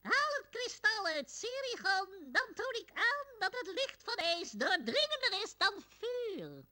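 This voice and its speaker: A mystical game character with an extremely high, bright voice. She makes cryptic, theatrical pronouncements, her pitch sweeping widely. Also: big pitch swings, measured pace, exaggerated voice. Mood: mysterious, solemn. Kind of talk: video game cutscene acting.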